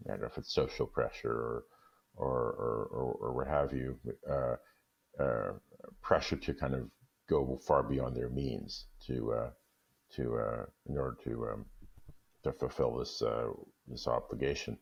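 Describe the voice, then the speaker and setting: Very deep gravelly male guest. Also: steady tone. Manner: halting, many restarts. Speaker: guest reader. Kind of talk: radio discussion.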